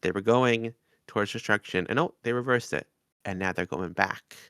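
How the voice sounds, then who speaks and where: A man chats casually as a podcast co-host. His voice sits fairly low and crackles with fry. The recording is dry, with no sense of a room. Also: normal speed, pushed and bright, dry recording. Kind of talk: casual chat.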